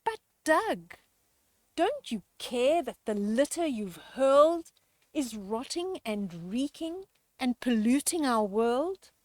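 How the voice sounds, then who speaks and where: A woman reads as a storybook superhero in a green cape, preachy and urging. The voice is fairly high and unhurried, with emphatic, admonishing phrasing, recorded close and dry. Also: sing-song, dry recording, exaggerated voice. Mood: reproachful.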